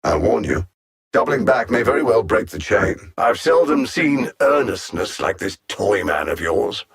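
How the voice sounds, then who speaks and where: A man playing a cold, condescending alien machine-intellect villain in a video game, speaking in a haughty, formal, measured way with a gravelly, husky voice. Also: on the low side, sing-song, full character voice. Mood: imperious, calculating.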